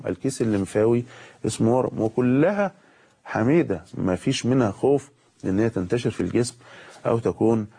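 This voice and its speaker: A man with an Egyptian accent, a pediatric surgeon with a cold, giving a calm medical explanation with pauses and fillers. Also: medium pitch. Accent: Egyptian Arabic. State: has a cold.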